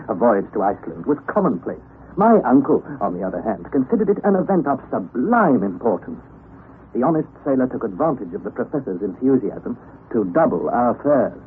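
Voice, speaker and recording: Actor as earnest young nephew, tinny vintage radio. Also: male voice, mid-range voice, natural voice. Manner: articulate, earnest, theatrical. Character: sensible, doubtful young nephew narrating an adventure. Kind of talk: old-time radio drama acting and narration. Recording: tinny, noisy old radio broadcast recording.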